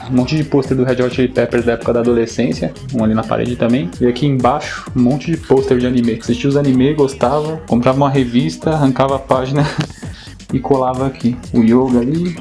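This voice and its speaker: A young man with a Brazilian accent who hosts a casual vlog and talks in an enthusiastic, informal way, often tagging on quick questions. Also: medium pitch, steady tone, dark tone, faint music behind. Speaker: YouTuber host.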